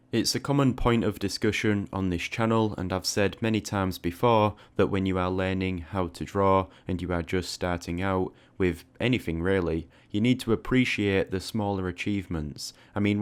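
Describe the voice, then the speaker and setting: Man, low voice, informal reflective monologue, slightly hesitant starts, online creator. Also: smooth. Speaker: art video creator and host. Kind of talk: reflective casual audio log monologue.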